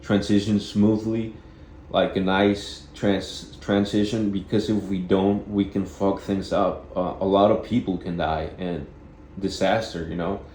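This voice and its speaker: A man with a deep voice giving a rambling spiritual self-help monologue, halting and repeating phrases as he goes. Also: flat delivery, a little mellow, reverberant, slight background noise. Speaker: vlogger.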